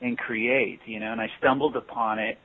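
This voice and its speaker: A man's voice: medium pitch, fairly even intonation, moderate pace, slightly rough. A man, a guitarist and singer in a band, answering interview questions at length with many restarts. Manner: thoughtful, rambling with restarts. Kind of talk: phone interview. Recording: tinny phone line.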